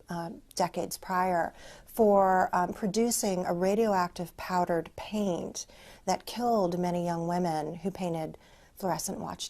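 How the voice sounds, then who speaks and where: A woman with an American accent explaining her research in an interview, pausing often with ums. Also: lowish voice, darkish tone. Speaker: sociologist, researcher being interviewed.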